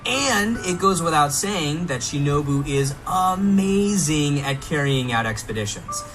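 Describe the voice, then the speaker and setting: A man's voice: on the high side, animated, a little slow. A man giving a semi-scripted promotional game presentation in a playful, theatrically enthusiastic manner. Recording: music behind, played within a reaction video. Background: clear music.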